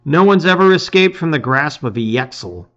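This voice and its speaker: A man's voice: on the high side, lively intonation, moderate pace, fairly bright tone, highs cut off. A man voicing a determined, protective quest hero from a storybook, earnest and dramatic. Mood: despairing.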